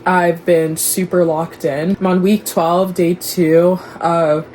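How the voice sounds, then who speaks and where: Young woman, Dallas-raised, Igbo first language, vlogger; low voice, candid chatty delivery, light background noise. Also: little pitch movement, takes it slowly, darkish tone, poor audio. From Dallas, Texas. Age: young adult. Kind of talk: casual vlog chat to camera.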